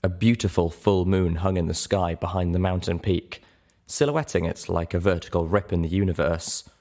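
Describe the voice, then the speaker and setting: A man narrating a fantasy audiobook in an expressive, scripted reading style. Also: low-pitched, moderate pace. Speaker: audiobook narrator and show host.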